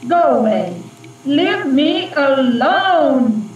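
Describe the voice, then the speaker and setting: Heard through a distant, echoing recording, a female Russian spy ghost with a Russian accent. She draws her words out very slowly, purring and taunting like a scheming seductress in a radio drama. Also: sits high, big pitch swings, full character voice. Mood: indignant. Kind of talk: radio play acting. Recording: dull, echoey, distant, slightly noisy recording.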